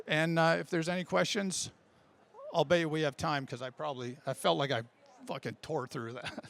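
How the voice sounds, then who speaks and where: High-voiced man giving an informal talk. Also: vocal fry. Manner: casual, self-deprecating, jokey. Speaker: speaker giving a workshop talk. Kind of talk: conference talk on writing, informal.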